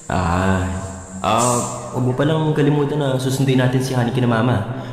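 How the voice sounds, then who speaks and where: A man's voice: medium pitch, normal speed, close to an everyday voice. An actor playing a thrifty, dutiful but easily exasperated husband and father in a Filipino radio drama. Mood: casual. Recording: very echoey hall, music behind.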